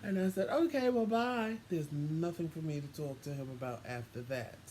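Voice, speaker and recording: A man with a mellow, relaxed tone recounts a story conversationally. His delivery is dry and sassy, as if unimpressed, and he voices quoted remarks as he goes. Some room noise is audible behind him. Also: on the high side, moderate pace. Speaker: co-host telling a couple's story. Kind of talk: casual storytelling with acted-out quotes. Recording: noisy room ambience.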